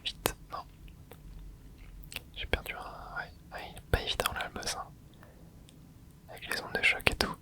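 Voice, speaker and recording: A man hosting an ASMR channel, whispering soft, casual commentary to himself as he plays a video game, full of gamer slang. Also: normal speed, long pauses. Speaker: ASMR gaming channel host.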